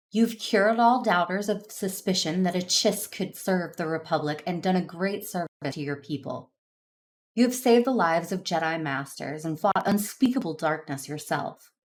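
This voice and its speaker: A woman playing a solemn, conflicted but resolute Jedi warrior in an audio drama, speaking in a measured, earnest way. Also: on the low side, close to an everyday voice. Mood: angry, pleading. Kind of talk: first-person narration and dialogue in audio drama.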